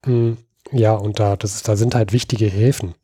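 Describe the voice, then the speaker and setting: Man, from Berlin, mellow dark tone, halting explainer with many fillers, dry close sound. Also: on the low side, fairly even intonation, slightly rough, no room sound. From Berlin, Germany. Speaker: podcast co-host. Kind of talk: casual history podcast chat.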